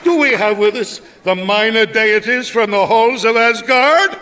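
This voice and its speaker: A showy master of ceremonies in a radio sci-fi comedy. He is high-voiced and forceful, stretching out grandiose announcements at a slow pace, with some crowd behind him. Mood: showy welcome. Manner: grandiose, drawn-out showman announcing. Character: showy cabaret master of ceremonies at a space restaurant. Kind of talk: radio science fiction comedy acting. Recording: crowd and faint noise behind.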